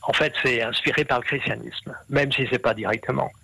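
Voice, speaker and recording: Hesitant man with a gravelly voice. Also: mid-range voice, steady tone, a little fast, sharp tone, no room sound, poor audio. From half Brazilian. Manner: hesitant, many fillers and restarts. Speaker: interview guest, scholar. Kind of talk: explanatory interview talk.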